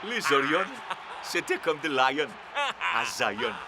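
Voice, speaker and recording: A man voicing a laid-back cartoon Rasta football player, with loud music underneath. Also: a little high, sing-song, slow, very noisy, full character voice. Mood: easygoing.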